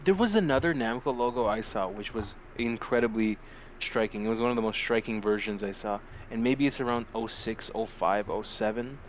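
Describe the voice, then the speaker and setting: A man's voice: lowish voice, fairly quick, a little hiss or hum. A man co-hosting a podcast in casual, slangy, joking banter with plenty of swearing. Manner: profane, slangy, jokey. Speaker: podcast co-host. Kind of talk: casual podcast chat, weird news banter.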